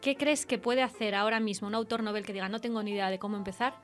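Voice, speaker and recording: A woman's voice: fairly high pitch, steady tone, racing, faint music behind. Woman from hosting a conversational interview. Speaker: radio interviewer, host. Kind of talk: informal literary radio interview.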